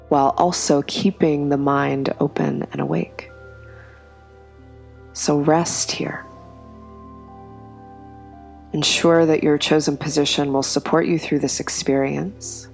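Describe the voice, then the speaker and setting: Slow, low, gravelly meditation guide woman. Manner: slow, soft, soothing delivery with long pauses. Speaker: yoga nidra guide.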